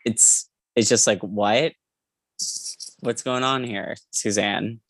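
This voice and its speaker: A podcast co-host with a mid-pitched, gravelly voice talks casually about books. The delivery is emphatic, with phrases repeated for weight, and the sound is close and dry. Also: fairly even intonation, slow pace, fairly bright tone, no echo, rough recording. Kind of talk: casual book discussion.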